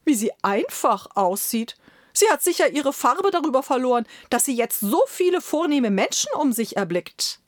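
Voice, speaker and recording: A woman's voice: high-pitched, very animated, normal speed, dry recording, clean studio sound, voice pushed up. A woman voicing a pompous male court chamberlain who speaks in a flowery, fussy way. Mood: astonished.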